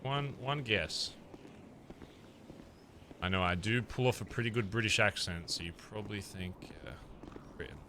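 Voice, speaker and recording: A man's voice: on the low side, normal speed, long pauses, noisy background. A young man streaming a roleplay game, rambling casually and swearing often. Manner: swears a lot, laid-back and rambling. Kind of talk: casual roleplay chat while gaming.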